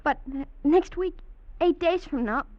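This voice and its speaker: An actress playing a timid, evasive young wife, meek and hesitant, in vintage American radio drama style. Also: female voice, extremely high pitch, a little slow, darkish tone. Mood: nervous submission. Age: young adult. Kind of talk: 1940s-50s American radio drama acting. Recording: dull vintage radio recording.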